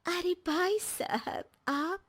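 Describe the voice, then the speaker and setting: A woman's voice: very high voice, drawn-out words, fairly bright tone, voice pushed up, full character voice. A woman voicing a charming, playful married neighbour in a comic cartoon, coy and sweetly teasing.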